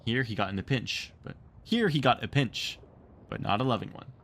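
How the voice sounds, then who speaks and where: A man narrating a storybook read-along with expressive, theatrical storytelling. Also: medium pitch, moderate pace. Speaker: streamer reading a book aloud.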